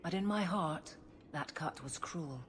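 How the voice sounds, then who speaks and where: A woman's voice: fairly low pitch, lively intonation, unhurried, a little hiss or hum. A woman voicing a steadfast, blunt guardswoman in a video game, speaking in an earnest, plain, firm way. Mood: somber, resolute.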